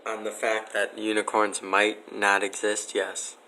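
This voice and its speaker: Flat, deadpan man with a forceful edge. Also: lowish voice, unhurried, a little hiss or hum, rough recording. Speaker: interviewee. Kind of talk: casual interview answers.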